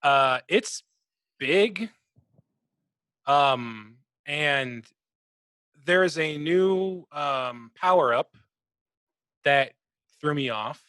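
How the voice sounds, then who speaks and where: A man's voice: fairly high pitch, lively intonation, very slow, pauses often, a bit bright, clear, resonant tone, no echo. A man co-hosting a podcast in casual conversation, speaking into a close microphone. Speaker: podcast co-host. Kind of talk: casual chat.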